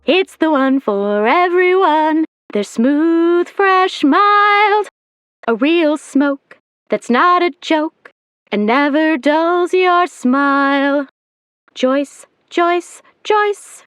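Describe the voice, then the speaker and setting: Casting: sweet 1940s radio jingle woman, extremely high voice, slow sing-song delivery. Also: expressive, fairly bright tone, dry recording, full character voice. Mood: sweet, chirpy. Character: sugary female singer of old radio cigarette jingle.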